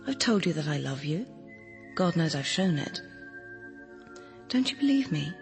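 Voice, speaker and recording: An actress playing a cool, manipulative woman who insistently coaches her lover in a radio drama, with a husky, controlled voice. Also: low-pitched, big pitch swings, a little slow, soft background music, heavily acted. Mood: impatient, pressing.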